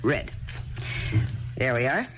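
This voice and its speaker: A gentle, coaxing commune mother. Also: very deep voice, sing-song, takes it slowly, slight background noise, exaggerated voice, poor audio. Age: 30s. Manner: soft, coaxing and warmly insistent. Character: gentle dreamy woman poet living in a commune. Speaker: mother in a radio drama. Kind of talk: 1970s radio drama acting.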